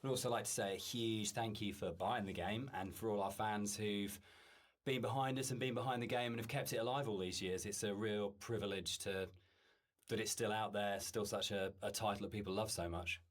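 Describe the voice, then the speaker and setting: Man, fairly low pitch, conversational director's commentary, game developer. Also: fairly even intonation, fairly quick.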